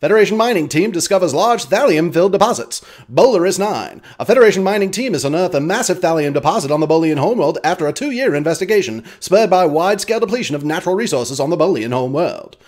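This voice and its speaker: A vintage radio news commentator, breathlessly fast and urgent. His clipped headlines swing up and down in pitch, with the punch of a 1940s newscast in a radio play. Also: animated, darkish tone. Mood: urgent, brisk. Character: brisk hologram of an early twentieth-century radio news commentator.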